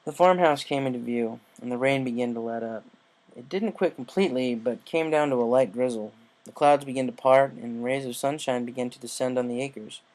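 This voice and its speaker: A man serves as narrator, reading a scripted story aloud. His voice sits at a middle pitch for a male speaker. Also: a little fast, a little mellow, poor audio.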